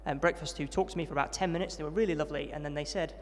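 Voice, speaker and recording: A young man recounts his upbringing at a brisk pace and a slightly raised pitch. He is friendly and self-deprecating, with plenty of ums. His voice rings with the long reverb of a large hall. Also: fairly even intonation. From Yorkshire, England. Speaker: interviewee, trainee vicar. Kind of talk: testimony interview at a student event.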